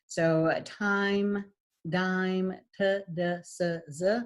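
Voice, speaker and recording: A middle-aged woman with a husky voice, giving a recorded lecture as a voice and speech teacher, with frequent fillers. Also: lowish voice, steady tone, drawn-out words. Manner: demonstrates voice types, lots of fillers.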